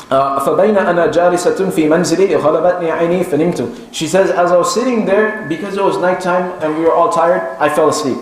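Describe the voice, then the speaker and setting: A man teaches in a very reverberant room, his voice a little high. He tells a religious story piece by piece, racing through recited passages and explaining them with frequent hesitations. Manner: hesitant, halting English explanation alternating with rapid Arabic recitation. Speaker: Islamic teacher giving a lesson. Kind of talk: religious storytelling lecture, translating Arabic text aloud line by line.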